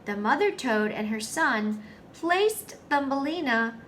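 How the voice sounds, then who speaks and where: High-voiced woman reading to young children. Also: lively intonation, a bit bright, a little hiss or hum. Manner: simple, deliberate phrasing aimed at small children. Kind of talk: read-aloud storytelling with simple questions to young children.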